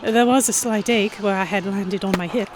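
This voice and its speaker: A woman's voice: medium pitch, moderate pace, lightly acted. A woman playing an anxious young noblewoman who narrates an audio drama in a fretful, tentative, introspective manner. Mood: tense, dramatic. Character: anxious young noblewoman heroine narrating her captivity among pirates. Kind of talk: first-person scripted narration in audio drama.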